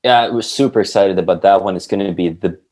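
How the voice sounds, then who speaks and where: A man, a musician talking casually as an interview guest and pausing to search for words. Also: low voice, a little mellow. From Sweden. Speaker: band guitarist, podcast guest.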